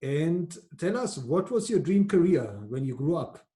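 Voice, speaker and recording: A man's voice: on the high side, sing-song, unhurried, dark tone. A man hosting an interview, asking short, polite questions. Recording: roomy echo.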